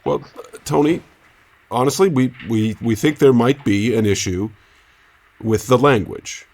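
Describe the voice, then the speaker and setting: An actor playing a careful, folksy radio reporter in an audio drama, speaking politely and hesitantly to placate someone. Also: male voice, mid-range voice, unhurried, darkish tone. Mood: tactful, nervous. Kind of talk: naturalistic audio drama acting.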